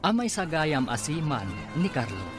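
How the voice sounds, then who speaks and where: A man's voice: on the high side, sing-song, normal speed. A man with an Ilocano accent delivering scripted radio drama narration as the show's announcer. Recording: music under the voice.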